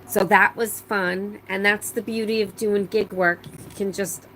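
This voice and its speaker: A woman's voice: medium pitch, steady tone, unhurried, a bit bright, slight background noise, rough recording. Chatty middle-aged woman hosting a live stream. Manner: chatty, rambling, many fillers and restarts. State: voice slightly strained after laryngitis. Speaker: livestream host. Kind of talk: casual live chat, reading out viewer comments.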